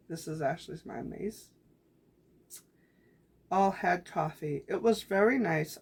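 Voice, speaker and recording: A woman vlogger reading her handwritten journal entries aloud in a calm, reflective way, her voice growing raspy. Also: lowish voice. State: voice getting raspy.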